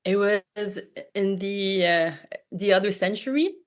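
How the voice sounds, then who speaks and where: A woman's voice: medium pitch, a little slow. Hesitant woman. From Quebec, Canada. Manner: hesitant, many fillers and restarts, light laughs. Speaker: panelist, sauna operator. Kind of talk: panel discussion answer.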